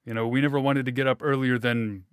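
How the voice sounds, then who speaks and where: A man's voice: medium pitch, steady tone, quick pace, clear, resonant tone, dry recording, studio quality. Easygoing male interview host. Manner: easygoing, joking, filler-heavy. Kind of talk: casual interview questions.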